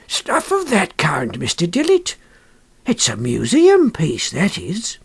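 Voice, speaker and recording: Male reader as wily shopkeeper, obsequious, high and swooping salesman's patter, colloquial, audiobook character. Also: wildly swooping pitch, takes it slowly, vocal fry, breathy edge, exaggerated voice. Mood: wheedling, deferential.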